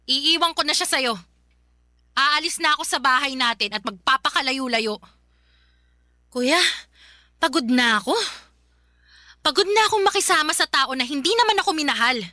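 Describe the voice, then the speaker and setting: High, bright, aggrieved young-sounding daughter. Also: female voice, normal speed, long pauses, dry recording, studio quality. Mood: defiant, weary. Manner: emotional, pleading, emphatic repetition. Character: aggrieved, worn-out adult daughter, bitter and defiant. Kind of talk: Filipino radio drama acting.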